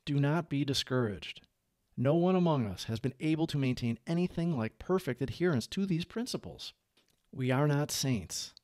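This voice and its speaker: A man narrating a book aloud as a reader, with measured, clearly phrased delivery. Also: on the high side, lively intonation, a little mellow, no echo, clean studio sound. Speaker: audiobook reader.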